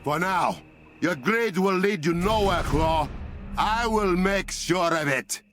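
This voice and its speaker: A man's voice: sits high, lively intonation, slow, fairly bright tone, faint music behind, heavily acted. An actor playing a resolute, protective young warrior king in a video game, with an African accent and a formal, commanding manner. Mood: stern, angry. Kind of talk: video game cutscene acting.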